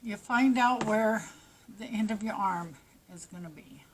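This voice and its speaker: A woman's voice: mid-range voice, a little slow, slight background noise, poor audio. A woman with a stuffy nose and a fading voice, giving plain, practical step-by-step crochet instructions. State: stuffy nose, losing her voice. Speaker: crochet teacher. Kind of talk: step-by-step craft tutorial.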